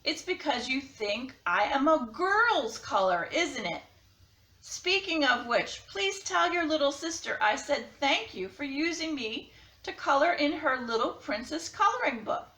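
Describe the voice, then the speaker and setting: Woman putting on a sassy, high pink crayon. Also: very animated, a little slow, pushed and bright, reverberant, exaggerated voice, poor audio. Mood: sassy, miffed. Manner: sassy, pointed. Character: sassy, neglected pink crayon demanding to be used. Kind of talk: read-aloud storybook character.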